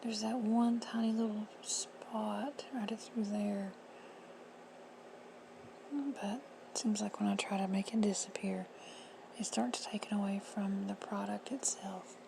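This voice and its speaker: A woman with a hoarse, husky voice giving plain, friendly step-by-step instructions in a casual how-to. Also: medium pitch, flat delivery, measured pace. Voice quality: whispery, husky. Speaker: reseller tutorial host. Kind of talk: casual screen-recorded how-to. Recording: noisy home recording.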